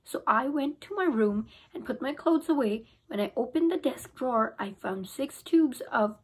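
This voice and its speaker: A young woman reads a children's book aloud in a lively, expressive storytelling way, her voice high and a little husky. She is recorded on a phone held close in a small room at home. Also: moderate pace, poor audio. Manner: expressive storytelling, occasional restarts. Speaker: teacher. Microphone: phone camera held close.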